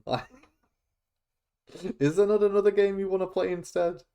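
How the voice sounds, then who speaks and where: A man's voice: high voice, normal speed, creaky, warm tone, smooth. A young man hosting a casual podcast, chatty, laughing often and tripping over his words. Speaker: podcast host. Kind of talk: casual chat.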